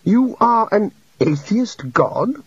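A bluff, bossy old wizard chief who cuts people off and gives orders briskly, as a male narrator plays him. His voice is a touch husky and the recording sounds dry. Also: high voice, big pitch swings, no room sound, lo-fi sound, pitched above the performer's own voice, heavily acted. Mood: puzzled. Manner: brusque, commanding.

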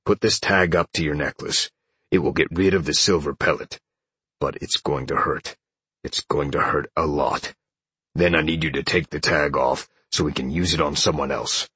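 Man putting on a gruff, growling rebel leader. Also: a bass voice, normal speed, bright, forceful tone, no room sound, heavily acted. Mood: firm, businesslike. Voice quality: growling, husky. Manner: terse, bossy. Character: gruff commanding male rebel leader in fantasy tale.

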